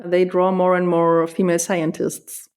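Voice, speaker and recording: A woman from a German-speaking country co-hosting a podcast, speaking in a measured, thoughtful way with light laughs. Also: on the low side, completely flat delivery, darkish tone, clear, resonant tone. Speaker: podcast co-host. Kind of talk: casual conversation.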